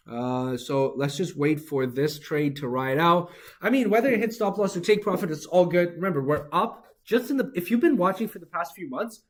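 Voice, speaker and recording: A man hosting an informal trading livestream, hyping with catchphrases and answering viewer comments as he goes. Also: fairly high pitch. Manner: hype-driven, informal, repeats catchphrases. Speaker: livestream host, trader. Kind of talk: live-stream sales pitch and chat replies. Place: office.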